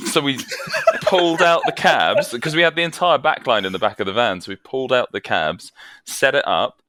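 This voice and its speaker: A man's voice: mid-range voice, normal speed, pushed and bright, no room sound. A man, a band vocalist, chatting on a podcast over a video call, self-deprecating and laughing often as he tells stories. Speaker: guest vocalist. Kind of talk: casual interview storytelling. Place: home, evening.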